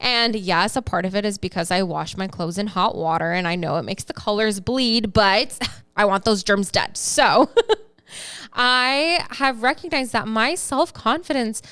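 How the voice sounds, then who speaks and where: A woman in her twenties co-hosting a casual podcast, chatty and animated, laughing easily as she talks. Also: mid-range voice, measured pace, clean tone, no room sound. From United States. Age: 20s. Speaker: podcast co-host.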